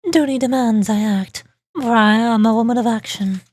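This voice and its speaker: Game detective heroine put on by a woman: young, high-pitched, grandiose, theatrically dramatic. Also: moderate pace, darkish tone, no room sound, lightly acted. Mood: brooding, grandiose. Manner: dramatic, pompous.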